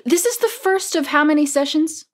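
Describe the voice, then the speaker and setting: An actress playing an anxious, eager, neurotic overachiever with excitable, emphatic delivery in a comedic audio play. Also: female voice, sits high, normal speed, lightly acted.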